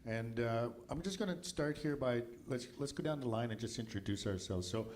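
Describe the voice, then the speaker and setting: A man's voice: mid-range voice, normal speed, darkish tone, slight background noise. A man from Nova Scotia moderating a film festival panel in a loose, chatty way with many fillers. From Nova Scotia, Canada.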